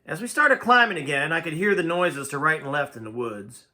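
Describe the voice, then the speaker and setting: A man's voice: on the high side, lively intonation, fairly quick, pushed and bright. A man reading a first-person tale aloud in a dramatic, folksy storytelling manner. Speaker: amateur audiobook reader.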